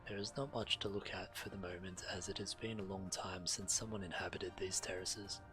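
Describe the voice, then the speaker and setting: A low-pitched male game streamer reads quest text aloud with a measured, deliberate delivery. Fantasy game music plays clearly behind him. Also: steady tone, low-quality recording. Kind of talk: reading quest text aloud while playing.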